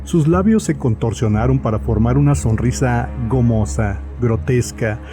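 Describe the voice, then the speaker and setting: A man's voice: medium pitch, normal speed. A man narrating a scripted horror story as the narrator of an audio drama. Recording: music under the voice.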